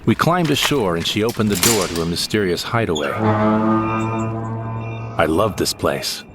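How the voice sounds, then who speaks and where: A man's voice: deep voice, very animated, normal speed, over loud music. A man playing a wistful, droll sidekick who narrates a scripted sci-fi comedy audio drama in the first person.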